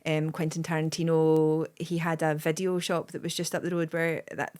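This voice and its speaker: A woman's voice: sits low, level tone, moderate pace, darkish tone, clear, resonant tone. A Scottish woman in her thirties, a guest comedian rambling in casual podcast chat with plenty of "like" and "um". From Scotland. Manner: rambling, lots of "like" and "um", bursts of laughter.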